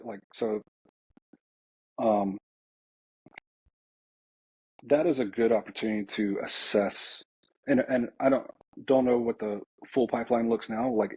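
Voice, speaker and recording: American guy chatting on a podcast, dark husky voice, kinda hesitant and reflective. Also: lowish voice. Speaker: air traffic controller, podcast guest. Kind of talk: casual podcast conversation. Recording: slightly dull, lo-fi voice track.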